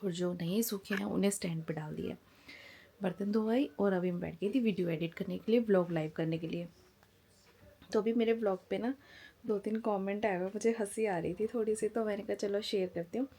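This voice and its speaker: A woman's voice: medium pitch, brisk, mellow, husky. A woman in her forties from India, chatting frankly and casually to camera at home as a family vlogger. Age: 40s. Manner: frank, chatty, talks plainly and openly.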